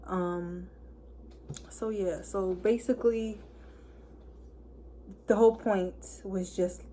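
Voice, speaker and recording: A hoarse woman of twenty-one, chatting casually to camera and packing her talk with fillers. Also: medium pitch, steady tone, slow pace, mellow, a little hiss or hum. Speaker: vlogger. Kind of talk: casual story time chat.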